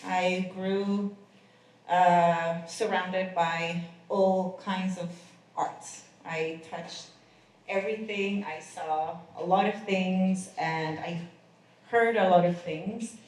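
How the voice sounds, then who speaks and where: A woman introducing herself on a conference panel, halting with many restarts. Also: fairly low pitch, fairly even intonation, a little mellow. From Colombia. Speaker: costume designer, panelist. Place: conference room. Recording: echoey room, far from the mic.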